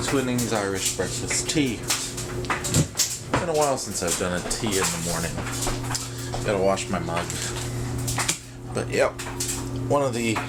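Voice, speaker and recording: A man from the American South chatting casually and thinking aloud as he vlogs in his kitchen. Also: lowish voice. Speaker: vlogger and host. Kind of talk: casual morning vlog chat while doing kitchen tasks. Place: home kitchen, indoors. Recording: noisy kitchen with loud appliance hum. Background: running dryer, clattering dishes.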